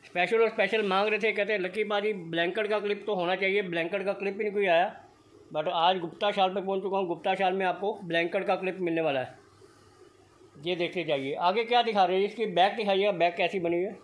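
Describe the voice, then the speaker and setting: Man in his own voice, fairly high-pitched, pushy sales hype, phone-camera sound in a shop. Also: steady tone, quick pace. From Punjab, India. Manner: upbeat, repetitive hard-sell patter. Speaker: shop video vlogger. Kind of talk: excited sales walkthrough. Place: indoor blanket shop.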